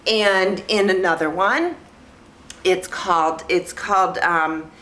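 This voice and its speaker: A woman with a bright, forward voice talks slowly in an echoey room. Her delivery is childlike and naive, built from simple sentences. She rambles casually as a vlog host, often repeating a phrase while she looks for the next word. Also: lowish voice. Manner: halting, repeats phrases as she searches for words. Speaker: vlog host reviewing films. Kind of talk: casual rambling talk to camera.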